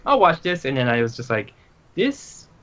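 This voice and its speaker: A man's voice: medium pitch, sing-song, moderate pace, a bit bright. A man chatting as a podcast guest, making dry offhand remarks. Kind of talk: casual chat. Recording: dull lo-fi audio with faint background hiss.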